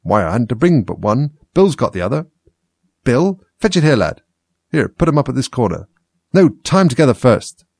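Rustic storybook animals bicker and boss one another about, all acted out by a man. Their calls are blunt and pushed up in pitch. The delivery swings widely and has a husky edge. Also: sing-song, normal speed, darkish tone, no echo. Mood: busy, bossy. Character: crowd of bossy, bickering rustic animal workmen. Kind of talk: children's storybook character voices.

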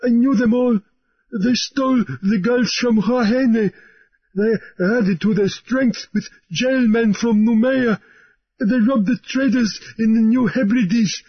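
A man's voice: extremely high pitch, slow pace, slightly rough, highs cut off, pitched above the performer's own voice, heavily acted. A man voicing a terrified harelipped island cook who splutters and strains to get his words out. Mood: frantic pleading.

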